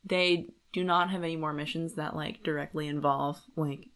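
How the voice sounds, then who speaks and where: A woman's voice: deep voice, steady tone, moderate pace, fairly bright tone. A woman co-hosting a podcast, discussing a book casually and reasoning her points through in a conversational way. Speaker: podcast co-host.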